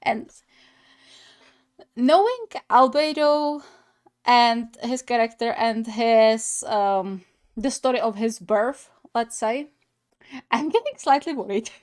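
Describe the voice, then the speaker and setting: A woman's voice: fairly high pitch, takes it slowly, pauses often. A woman giving casual reaction commentary as host of a gaming video, thinking aloud hesitantly.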